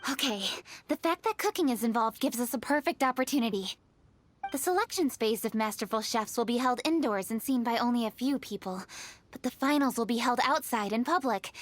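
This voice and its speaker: A woman's voice: a little high, slight background noise, lightly acted. A woman voicing a serious, efficient young female official in a video game, articulate and businesslike. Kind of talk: English video game voice acting. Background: game music.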